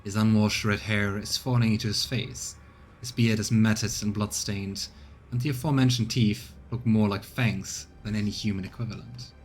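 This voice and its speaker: A man's voice: on the low side, steady tone, normal speed, slight background noise. A man streaming a let's play, reading out the game's narration and adding his own casual commentary. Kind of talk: game commentary and narrated reading of on-screen text.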